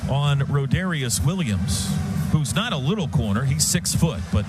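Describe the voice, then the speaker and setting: A man's voice: mid-range voice, big pitch swings, normal speed, over loud music. An American man calling live football play-by-play on the radio in clipped, present-tense phrases. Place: stadium radio booth. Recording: live radio broadcast, loud stadium sound behind.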